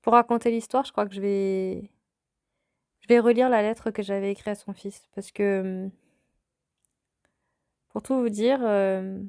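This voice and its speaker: A woman in her thirties, from France, hosting her own podcast and telling a personal story in a calm, reflective way. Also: mid-range voice, measured pace, long pauses, no echo, clean studio sound. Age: 30s. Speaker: podcast host.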